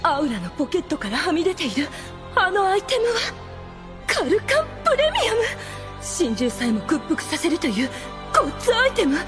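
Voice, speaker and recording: High, theatrical, proud demoness. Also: female voice, huge pitch swings, moderate pace, music in the background, full character voice. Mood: suspicious. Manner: theatrical, formal feminine speech. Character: proud, scheming demon woman, dramatic and easily affronted. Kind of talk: Japanese anime audio drama acting.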